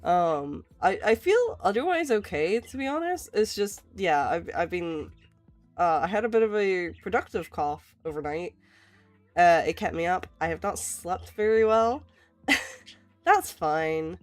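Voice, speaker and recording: A woman, hoarse and raspy, chatting casually while she draws on a stream and laughing often. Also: expressive, measured pace, soft background music. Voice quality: raspy, lower than usual. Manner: laughs often, reassuring, rambling. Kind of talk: casual chat while drawing.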